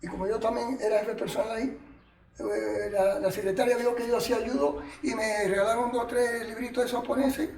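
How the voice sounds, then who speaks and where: High-voiced older Cuban man, distant echo. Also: fairly quick, gravelly. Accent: Cuban Spanish. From Cuba. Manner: animated, colloquial, chatty asides. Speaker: martial arts master, interview guest. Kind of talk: reminiscing storytelling. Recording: echoey room, distant mic, faint noise.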